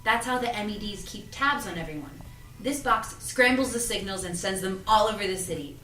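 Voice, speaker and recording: A woman's voice: mid-range voice, a little fast, pushed and bright, a little hiss or hum, lightly acted. Casting: actress as secretive, earnest teen girl, audio drama; roomy, off-mic sound. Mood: explaining. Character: earnest, secretive teenage girl, friendly shop helper. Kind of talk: audio drama cast table read. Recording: roomy, distant mic, music underneath.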